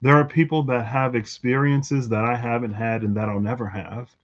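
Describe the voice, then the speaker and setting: A man thinking out loud in a rambling, reflective monologue for his tarot channel, full of fillers and restarts. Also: medium pitch, steady tone, moderate pace, dull audio. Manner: musing, lots of fillers and restarts. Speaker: tarot reader vlogger.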